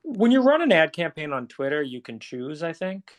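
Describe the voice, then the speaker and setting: A man's voice: fairly high pitch, very animated, moderate pace. A man talking casually and technically, like an engineer in a live discussion.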